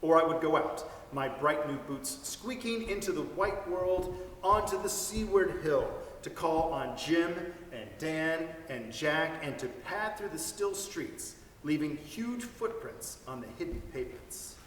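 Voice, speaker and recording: Male reader, expressive storytelling, slightly higher voice, distant church reverb. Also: unhurried, slight background noise. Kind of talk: dramatic reading of a story. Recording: echoey hall from far off.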